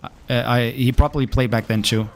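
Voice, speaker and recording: Relaxed Danish man chatting while gaming. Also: medium pitch, vocal fry, a little hiss or hum. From Denmark. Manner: halting, restarts often, relaxed. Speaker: gaming streamer. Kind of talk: casual chat reading viewer questions.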